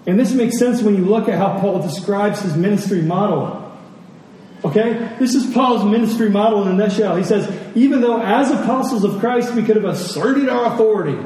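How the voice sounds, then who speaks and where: A man's voice: high-pitched, warm tone. A man preaching in a conversational teaching style, earnest, reading scripture, asking rhetorical questions and repeating phrases for emphasis. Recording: very echoey hall, distant mic, faint noise.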